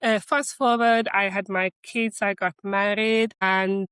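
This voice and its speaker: Woman, middle-aged, Hungarian accent, conversational interview guest, medium pitch, dry close sound. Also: fairly even intonation, measured pace, fairly bright tone, clear, resonant tone, no echo. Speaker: interview guest, marketing executive. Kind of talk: conversational interview, telling career story and advice.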